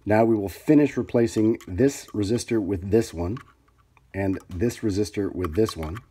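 A man's voice: fairly low pitch, normal speed, dark tone, slightly rough. A man giving a casual, unscripted hands-on tutorial, thinking aloud as he works at an indoor bench. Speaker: hobbyist repairer demonstrating his work. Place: indoors at a workbench.

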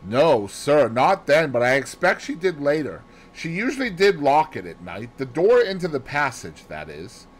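Man putting on a high-pitched nervous housemaid. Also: sing-song, normal speed, few pauses. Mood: deferential, unsure. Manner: hesitant, deferential. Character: nervous, deferential young housemaid, female. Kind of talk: audiobook character dialogue.

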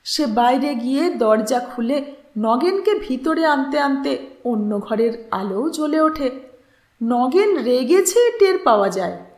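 High-voiced woman reading a story, very animated. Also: slow pace, roomy sound. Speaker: storyteller reading fiction aloud. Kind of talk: expressive literary audiobook narration with acted dialogue.

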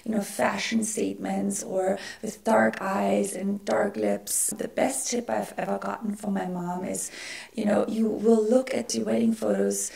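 Hoarse, gravelly woman losing her voice. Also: medium pitch, little pitch movement, a little slow, a little mellow. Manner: chatty, friendly, explains as she works. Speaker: makeup artist. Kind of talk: makeup tutorial.

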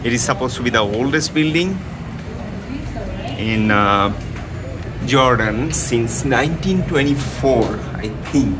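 A man, a travel vlogger, calmly pointing things out to a handheld camera while walking around a city. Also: mid-range voice. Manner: unhurried, tour-guide pointing things out. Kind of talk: casual travel vlog commentary. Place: busy city streets and old building, outdoors and in. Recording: loud crowd noise behind.

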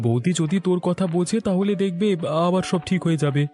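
Man acting a high, mellow-voiced supportive friend. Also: fast talker, close to an everyday voice. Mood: earnest, persuasive. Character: supportive young male friend and colleague, advising earnestly. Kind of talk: Bengali family cartoon acting.

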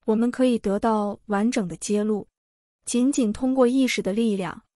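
A woman's voice: on the high side, normal speed, warm tone, no echo, studio quality, lightly acted. A woman dubbing an earnest female speaker in a documentary monologue. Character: earnest woman calling for human unity. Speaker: documentary dub voice.

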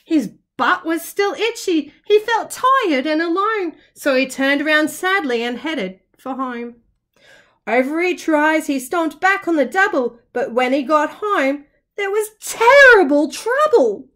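A woman reading a rhyming children's storybook aloud in an expressive, dramatic, sing-song way, like a teacher. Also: high-pitched, measured pace. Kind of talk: children's storybook narration in rhyme.

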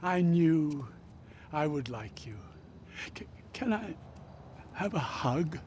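A man's voice: a little high, expressive, slow, a little mellow, a little hiss or hum. An actor playing a fawning, eccentric British man, an obsessive celebrity fan who gushes and stammers, in a comic game cutscene. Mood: awkward affection. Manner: gushing, stammering, awkward. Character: fawning, eccentric middle-aged British male celebrity stalker.

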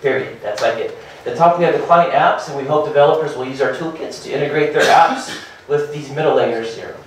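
A man in his thirties giving a hurried startup presentation at a meetup, full of fillers and restarts. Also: on the high side, slight background noise. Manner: hurried, filler-heavy, restarts and repeats words. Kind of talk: conference talk. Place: meetup room with projector and seated audience. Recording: very echoey room from far off.

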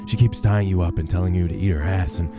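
A young man voicing a deep movie trailer announcer over music, exaggerating the drama. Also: fast talker, lightly acted. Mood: dramatic.

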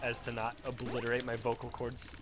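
A man's voice: medium pitch, monotone, brisk, noisy background. A man of twenty-one with a sore throat, rambling and self-deprecating as he commentates a game, swearing at it now and then. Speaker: let's play commentator. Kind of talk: casual gameplay commentary.

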